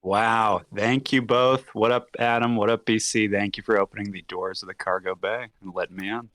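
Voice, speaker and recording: A man's voice: fairly low pitch, steady tone, normal speed, fairly bright tone. An effusive man chatting casually as a podcast guest, often acting out imagined dialogue. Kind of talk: casual chat.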